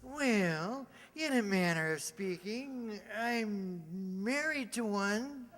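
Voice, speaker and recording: An actor playing a mild, henpecked small-town druggist in an old-time radio comedy, with a hesitant, deadpan, folksy delivery. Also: male voice, sits high, slow pace, slight background noise, exaggerated voice. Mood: dry, mild. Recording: reverberant hall, stage re-enactment.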